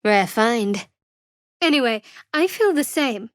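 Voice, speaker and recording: An actress playing a guarded, wry young female cleric in a fantasy video game, speaking in a cool, dry, measured way. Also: fairly high pitch, expressive, fairly bright tone, dry recording. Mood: wry, composed. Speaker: video game companion character. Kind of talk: scripted fantasy game voice acting.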